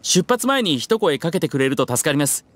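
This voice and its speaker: An actor playing a polite, earnest young man in an anime game, gentle and courteous. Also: fairly high pitch, very animated, brisk, a little hiss or hum. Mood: gentle, content.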